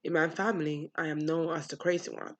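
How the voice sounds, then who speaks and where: A woman's voice: low-pitched, steady tone, fairly quick, muffled recording. Woman, young adult, from the US, blunt, frank vlog monologue, casual swearing. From United States. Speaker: vlogger. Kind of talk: candid personal monologue.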